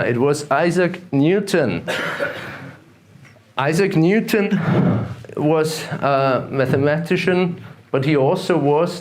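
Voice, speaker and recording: A man from Austria with an Austrian German accent giving a talk at a conference, halting and full of fillers and restarts. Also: medium pitch, darkish tone. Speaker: conference speaker. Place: conference room with audience.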